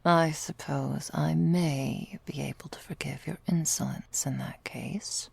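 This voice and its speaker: Woman playing a slow, whispery, languid vampire lover. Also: sits low, fairly even intonation, no echo, full character voice. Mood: wry, teasing. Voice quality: soft, breathy, whispery. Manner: languid, formal archaic wording, teasing. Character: drowsy, possessive, affectionate ancient female vampire lover. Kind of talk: intimate ASMR roleplay, whispered and breathy. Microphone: close microphone, dry.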